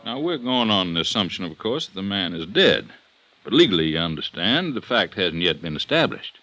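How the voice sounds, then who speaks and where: An actor playing a cautious, courteous but suspicious deputy investigator in 1950s radio drama, with deliberate, formal, lawyerly phrasing. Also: male voice, deep voice, sing-song, sharp tone, dry recording, faint music behind, full character voice. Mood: measured, courteous.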